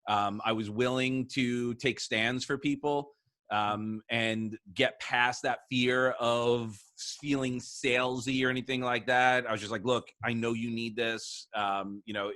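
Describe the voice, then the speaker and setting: A man hosting an interview show, telling a story in a relaxed, thoughtful way. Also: mid-range voice. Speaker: show host.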